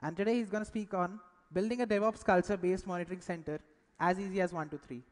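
A woman's voice: lowish voice, fairly even intonation, quick pace, darkish tone. A woman hosting an event, introducing a speaker on stage. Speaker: event host. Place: large indoor conference hall, on stage. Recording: reverberant hall sound.

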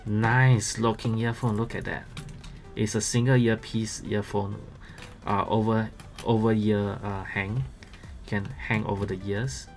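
A man gives casual, halting unboxing commentary as a gadget reviewer. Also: fairly low pitch. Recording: music playing behind the voice.

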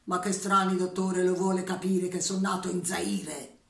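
A woman voicing an anxious patient who keeps pleading with a doctor in a high, insistent voice. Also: flat delivery, normal speed, vocal fry. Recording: echoey room.

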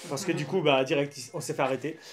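A man's voice: a little high, steady tone, fast. A young man from Belgium hosting a casual unboxing vlog in informal, slangy speech. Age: young adult. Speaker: YouTube host unboxing souvenirs. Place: small dim room at home, indoors. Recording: music playing under the voice. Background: music.

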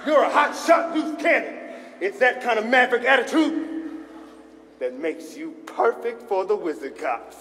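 A man acts out a swaggering wizard police chief in a comic staged reading. His voice sits high, with brash, jive-talking authority. It rings through a large hall over faint noise. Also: lively intonation, a little slow, a bit bright, heavily acted. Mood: brash, admiring. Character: swaggering male wizard police chief, funky cop-movie lawman. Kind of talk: live staged reading of comedy musical.

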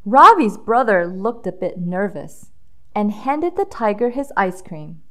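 A woman's voice: medium pitch, sing-song, unhurried. A woman reading a children's storybook aloud with dramatic emphasis, drawing out key words for young listeners.

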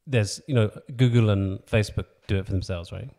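A man's voice: on the low side, a little fast, dark tone. A man hosting a podcast, asking casual interview questions.

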